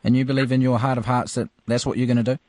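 A man's voice: medium pitch, little pitch movement, rapid-fire, a little mellow, no echo. A man interviewing a boxer on sports radio, stacking up lead-ins before each question.

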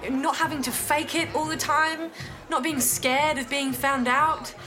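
High-voiced young British bride. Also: female voice, steady tone, a little slow, over music. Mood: wry, confessional. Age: 20s. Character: betrayed young bride, long anxious, now bluntly candid. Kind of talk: naturalistic audio drama acting.

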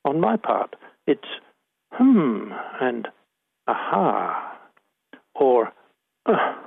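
A man's voice: medium pitch, sing-song, extremely slow, gravelly, slightly rough, no echo, narrow, tinny audio. A man in his eighties with a Scottish accent, a poet reading his work and talking about it in an interview. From Northern Ireland. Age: 80s.

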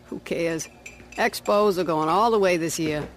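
A woman's voice: fairly low pitch, takes it slowly, a little hiss or hum. A woman acting in a fiction podcast as an old-fashioned small-town general store keeper, blunt, folksy and teasing.

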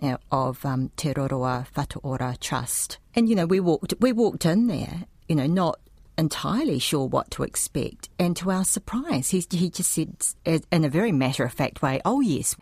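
Low-pitched New woman, writer telling her story, lively with fillers. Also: dry recording. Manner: animated retelling with many fillers. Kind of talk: interview storytelling.

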